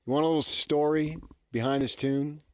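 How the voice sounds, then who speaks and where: A man's voice: fairly high pitch, fairly even intonation, normal speed, smooth, no room sound, clean studio sound. A man hosting a sports radio show, talking casually in a folksy, conversational way and often tossing out rhetorical questions.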